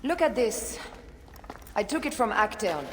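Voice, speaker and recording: An actress playing a fierce, devoted wife and vengeful assassin in a video game cutscene, with an intense, deliberate delivery. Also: female voice, fairly high pitch, big pitch swings. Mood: determined. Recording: long hall reverb, faint background noise.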